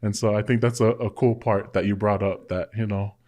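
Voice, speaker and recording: A man hosts a casual podcast conversation and reflects aloud, filling his sentences with "you know." His voice sits somewhat low. He talks in an easy, unhurried chat. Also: little pitch movement, mellow. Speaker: podcast host.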